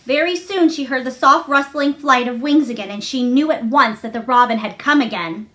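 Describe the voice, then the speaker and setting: A woman's voice: high-pitched, normal speed, sharp tone. A woman narrating a children's novel aloud as a storybook reader.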